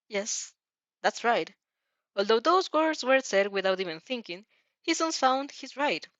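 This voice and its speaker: Female story narrator, mid-pitched, dramatic but halting delivery, dry and slightly dull home recording. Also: fairly bright tone, dry recording. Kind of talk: expressive fan fiction read-aloud.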